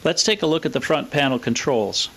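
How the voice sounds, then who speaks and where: A man with a mid-pitched voice delivers scripted, explanatory narration. He is methodical and matter-of-fact, laying out technical details in an orderly way, as the presenter of an equipment walkthrough. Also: fairly quick, vocal fry, a bit bright. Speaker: presenter of a vintage radio equipment video.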